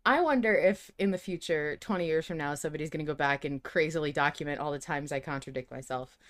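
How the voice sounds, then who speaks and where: A woman's voice: low voice, fast talker, a bit bright. Blunt, sweary woman podcast co-host. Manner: blunt, playfully exasperated, swears freely. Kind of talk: casual banter on a fan discussion podcast.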